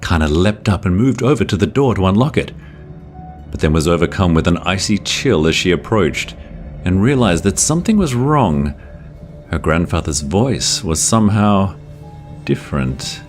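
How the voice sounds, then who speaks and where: A man with a very deep voice narrates a scripted horror folktale. His delivery is measured and dramatic, stretching out phrases for suspense, with soft music underneath. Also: animated.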